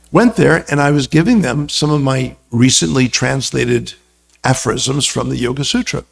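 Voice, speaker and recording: A man's voice: mid-range voice, vocal fry. An American man speaking as a religious teacher, telling anecdotes in an informal, conversational talk full of asides. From United States. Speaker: guest speaker, religious teacher and university lecturer.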